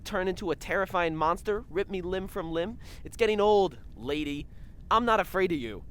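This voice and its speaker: A man's voice: high-pitched, moderate pace, fairly bright tone, clear, resonant tone. A man playing a cocky, exasperated young sidekick with a taunting, whiny manner in a comic audio drama.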